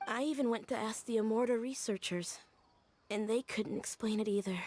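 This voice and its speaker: A woman's voice: fairly high pitch, moderate pace, darkish tone, slight background noise, natural voice. A woman voicing an earnest, sentimental young female scholar in a video game, telling her story in a wistful way. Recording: game audio with faint music.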